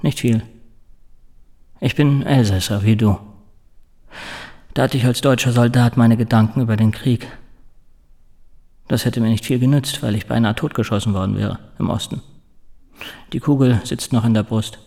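An actor in a radio play voicing a terse, guarded war veteran with a gravelly, husky voice and subdued delivery. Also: male voice, mid-range voice, steady tone, moderate pace, pauses often, warm tone. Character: guarded war-wounded Alsatian soldier, terse former lover. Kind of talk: German radio play acting.

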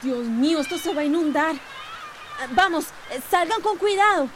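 A woman's voice: very high-pitched, animated, moderate pace. A woman acting an earnest, impatient schoolteacher in a radio drama. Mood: alarmed, urgent. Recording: faint background noise.

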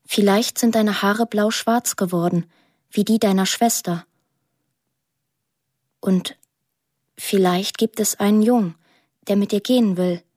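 An actress voicing a lonely, imaginative eight-year-old girl in a radio drama monologue, plain, deliberate and earnest. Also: mid-range voice, fairly even intonation, a little mellow, no room sound, studio quality, close to an everyday voice. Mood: tender, hopeful.

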